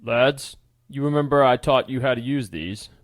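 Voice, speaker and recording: A man's voice: medium pitch, normal speed, dry recording, studio quality, close to an everyday voice. A man doing a stern blacksmith father. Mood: commanding. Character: stern middle-aged male blacksmith father.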